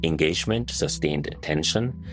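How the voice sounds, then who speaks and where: A man's voice: extremely low pitch, lively intonation, normal speed, music in the background. A young man from Nepal answering interview questions in a thoughtful, conversational way, with many "you know"s and "right?"s. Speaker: guest on the ground reporting.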